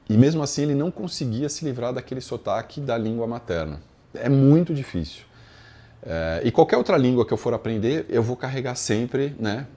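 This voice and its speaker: A man's voice: mid-range voice, dark tone. A man with a Brazilian Portuguese accent giving an informal, conversational lesson, explaining ideas step by step and often adding short check-in tags. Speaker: yoga philosophy teacher.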